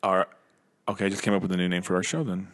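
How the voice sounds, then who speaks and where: A man's voice: low voice, steady tone, very fast, fairly bright tone. An American man co-hosting a podcast in casual chat, rambling and slangy, often repeating himself as he gets to his point. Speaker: podcast co-host.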